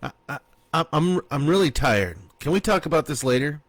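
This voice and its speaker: A man voicing a flustered, guilty husband who stammers and trips over his words, speaking a little higher than his own voice. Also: expressive, moderate pace, no echo.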